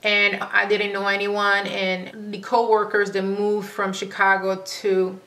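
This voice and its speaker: A woman's voice: medium pitch, monotone, a little slow, bright, forceful tone, poor audio. A woman in her thirties from Panama with a Spanish accent, chatting to camera as host of her own Q and A vlog, laughing often and filling gaps with "um" and "you know". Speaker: vlogger host. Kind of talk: casual Q and A chat to camera.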